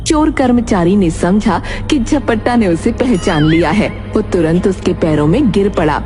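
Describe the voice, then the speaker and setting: A woman narrating a children's cartoon story in an even storytelling manner. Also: mid-range voice, moderate pace, music in the background.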